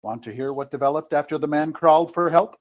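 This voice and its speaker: Man as detective show announcer, highish voice, wry and theatrical, vintage radio style, muffled lo-fi sound. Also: fast, natural voice. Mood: wry, dramatic. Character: dramatic male announcer of a detective serial.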